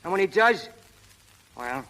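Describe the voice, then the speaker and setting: Brash, high-voiced tough fight manager. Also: male voice, big pitch swings, measured pace, pushed and bright, heavily acted. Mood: eager, awkward. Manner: tough, slangy, ungrammatical street talk. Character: brash, hot-tempered Irish-American prizefight manager, rough but lovestruck. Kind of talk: 1930s radio acting. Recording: old 1930s radio broadcast recording.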